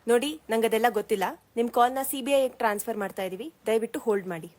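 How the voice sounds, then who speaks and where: Female actor as brusque courier scam caller, quick, rather high voice, indifferent, awareness skit. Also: darkish tone, natural voice. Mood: businesslike.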